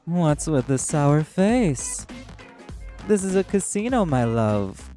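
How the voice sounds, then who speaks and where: Flamboyant arch-demon, raised pitch, silky, courtly, theatrical drawl, predatory charmer, audio roleplay. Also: male voice, faint music behind. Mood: silky, teasing. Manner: theatrical, drawn-out, flattering endearments.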